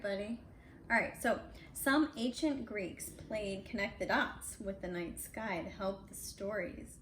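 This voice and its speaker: A woman reading a storybook aloud to kids at home, breaking off for casual, chatty asides to a child. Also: mid-range voice. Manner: chatty asides to child between read passages. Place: indoors at home. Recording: roomy echo, clear background noise.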